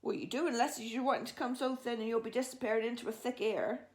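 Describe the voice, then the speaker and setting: A woman voicing a big, gentle old male giant from a storybook who jumbles his words eagerly. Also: fairly high pitch, fast, pitched above the performer's own voice, natural voice. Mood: earnest.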